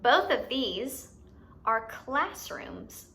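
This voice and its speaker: A woman's voice: sits high, very animated, drawn-out words, sharp tone, a little hiss or hum. A woman hosting a lesson for young children, explaining things simply and expressively and putting questions to the listener. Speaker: children's teacher and show host.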